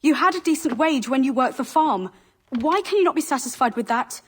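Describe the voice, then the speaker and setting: A woman's voice: high voice, animated, brisk. A woman playing an apologetic but resolute young wife in a historical video game, earnest and restrained. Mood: sad, resolute.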